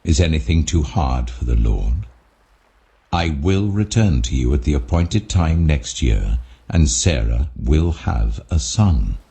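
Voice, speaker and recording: Man voicing God, extremely deep and grave. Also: expressive, vocal fry, mellow, breathy edge, heavily acted. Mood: stern, sure. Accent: British. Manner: grave, authoritative, deliberate. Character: almighty male God, solemn, commanding, just.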